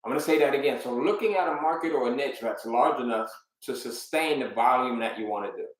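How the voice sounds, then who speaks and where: A man coaching a live webinar audience, emphatic and energetic, with a steady mid-range voice. He keeps asking his viewers rhetorical questions. The sound bounces around a very echoey room. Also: medium pitch, fast. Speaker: online business coach. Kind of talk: live webinar teaching, talking to chat viewers.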